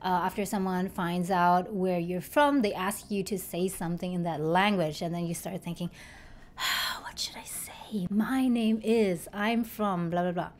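A woman in her thirties from Germany, chatting to camera and explaining things step by step in a bright living room with a desk microphone. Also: on the low side, a little slow. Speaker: YouTuber. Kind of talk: casual talk to camera. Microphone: desk microphone in front.

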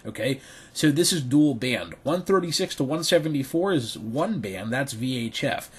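A man with a slightly husky, medium-pitched voice walks viewers through a hands-on demonstration. He speaks casually and thinks aloud, with a halting delivery. He is recorded indoors in a small bedroom. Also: expressive. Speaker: video creator demonstrating radio gear.

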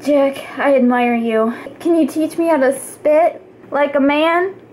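A woman's voice: high voice, measured pace, darkish tone, a little hiss or hum. A woman voicing an earnest, melodramatic teenage girl playing a tragic heroine in an amateur puppet show. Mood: earnest, dramatic.

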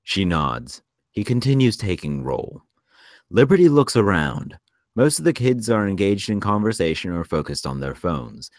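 A man narrating a screenplay at a table read in a measured storytelling delivery. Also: low-pitched, big pitch swings, no room sound, studio quality. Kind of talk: scripted narration of stage directions.

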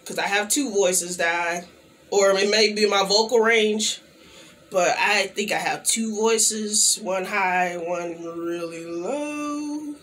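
Slow-talking young woman clearing her throat. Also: mid-range voice, a little hiss or hum. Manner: slow, relaxed talk with frequent throat clearing. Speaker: channel host. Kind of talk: casual vlog chat. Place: small indoor room, plain backdrop.

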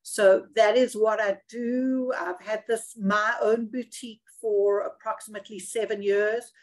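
A middle-aged woman with a clear South African accent speaks as an immigration attorney on a webinar. Her delivery is earnest, measured and professional. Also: mid-range voice, steady tone, poor audio. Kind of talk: webinar self-introduction.

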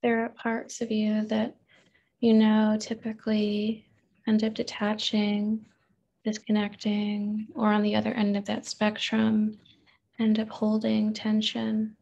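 A woman therapist leading a guided meditation in a gentle, unhurried manner, with pauses and open questions. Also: medium pitch, monotone, vocal fry, dull audio.

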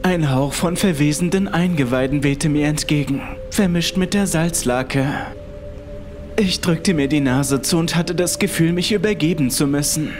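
A man's voice: on the high side, normal speed. A man reading a scripted first-person horror story as its narrator. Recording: music behind the voice. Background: background music.

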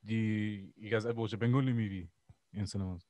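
A man's voice: fairly low pitch, steady tone, quick pace, warm tone, no echo. A young man with a London accent, chatting casually and in slang as a podcast co-host. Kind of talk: casual chat. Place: indoors, remote video call.

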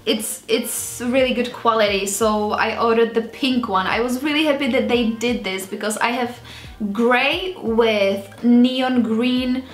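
A woman's voice: a little high, unhurried. A woman in her twenties with a Czech accent, chatting casually to camera in a rambling, self-mocking way as a video blogger. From Czech Republic. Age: 20s. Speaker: video blogger presenting a clothing haul. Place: small indoor beauty room with vanity. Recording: roomy sound, music underneath.

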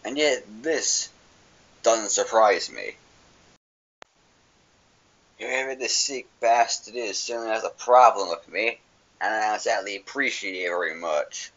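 A husky, weary cartoon hero, acted by a man, speaks in a low, brooding voice. He is cold and defiant, measuring out each phrase slowly. The home recording is dull and muffled. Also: fairly bright tone. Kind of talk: amateur animated character voice demo.

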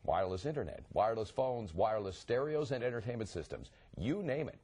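Animated, husky male co-host. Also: on the low side, fairly quick. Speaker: program co-host. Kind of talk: scripted TV program introduction.

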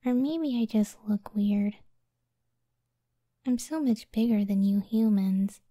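A woman voicing a gentle, self-doubting giant cyclops wife in a soft, breathy ASMR roleplay, speaking tenderly and often near a whisper. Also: medium pitch, dry recording, studio quality. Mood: wistful, insecure. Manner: slow, tender, unhurried.

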